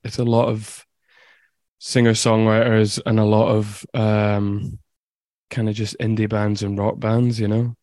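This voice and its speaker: Flat-toned young Scottish man. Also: lowish voice, a little slow, no echo. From Scotland. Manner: laid-back, rambling. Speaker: musician guest. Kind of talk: casual interview chat. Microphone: video call.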